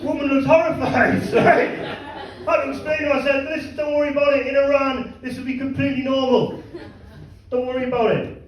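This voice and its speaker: A man doing a stand-up comedy set in a club, delivering crude deadpan punchlines with halting asides to the crowd. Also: extremely high pitch. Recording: echoey club miked from a distance. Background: audience laughter.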